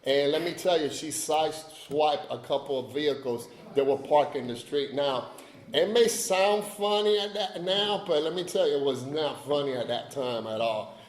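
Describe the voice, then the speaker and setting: A man's voice: a little high, normal speed, echoey room. A man from Cuba, giving a personal recovery talk, chatty and joking with the audience in asides. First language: Spanish. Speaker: AA speaker.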